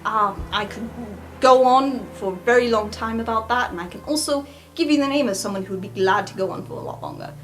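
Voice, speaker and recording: A woman's voice: on the high side, big pitch swings, fairly quick, few pauses, a bit bright, a little hiss or hum, low-quality recording. A woman playing in an improvised tabletop roleplay, speaking casually and wryly in character. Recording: faint music under the voice.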